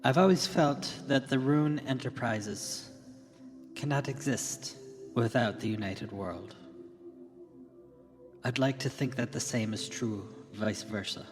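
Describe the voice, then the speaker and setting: A man playing an earnest tech company leader giving a solemn, measured keynote in a radio drama, pausing deliberately between phrases. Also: mid-range voice, natural voice. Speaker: keynote speaker. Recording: long hall reverb with music behind. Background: music.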